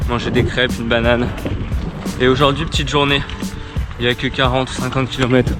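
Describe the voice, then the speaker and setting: A man's voice: medium pitch, little pitch movement, brisk. A young man who is sick with a cold and a sore throat, chatting tiredly to his viewers while touring outdoors by bike. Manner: chatty, tired, says "les gars" to viewers. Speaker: touring cyclist vlogger. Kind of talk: casual vlog talk to camera. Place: outdoors on the road. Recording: loud music over the talk. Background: loud background music.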